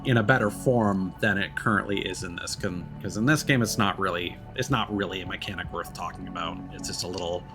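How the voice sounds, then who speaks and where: A man giving casual video game commentary as a streamer, talking in a reflective, meandering and hesitant way. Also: low voice. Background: background music.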